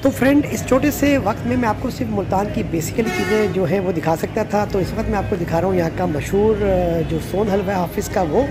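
A man narrating a casual travel vlog, chatty with hesitant pauses. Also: high-pitched, over music. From Pakistan. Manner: chatty, says "zabardast" and "inshallah" often, with hesitant "uh" pauses. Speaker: travel vlogger. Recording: loud street noise behind.

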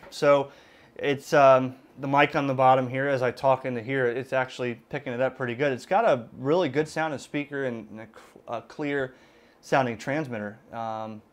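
A middle-aged man with an American accent and a mid-range voice talks through a device in an informal, unscripted way. He explains step by step, often halting to think aloud. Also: medium pitch. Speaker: ham radio gadget reviewer. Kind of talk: casual unscripted product demonstration. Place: indoors at a desk in a room.

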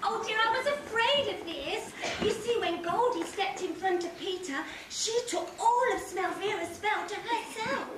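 A woman acts a kindly pantomime fairy with a very high voice. She is earnest and worried. She sounds distant in an echoey, noisy hall. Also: measured pace, few pauses. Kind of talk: amateur pantomime stage acting.

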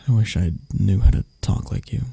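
Low, gravelly, mellow man acting a prickly boss. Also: no echo, heavily acted. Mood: tender, halting. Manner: halting, breathy, often dropping to a whisper. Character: arrogant, prickly CEO boss, softening into vulnerable flirt. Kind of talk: intimate ASMR roleplay acting.

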